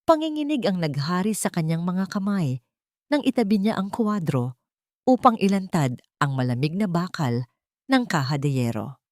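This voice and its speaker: A woman's voice: lowish voice, sing-song, normal speed, a little mellow, no room sound, clean studio sound. A woman reading a scripted dramatic story as its narrator.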